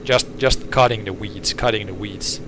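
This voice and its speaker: Laid-back farmer, low voice. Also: male voice, background noise. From Finland. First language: Swedish. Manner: halting, restarts phrases, laid-back. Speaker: organic farmer. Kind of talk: casual chat while tractoring. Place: tractor cab.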